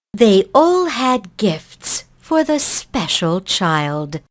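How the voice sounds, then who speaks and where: A woman reading a children's story aloud in a measured, expressive narrating style. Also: on the low side.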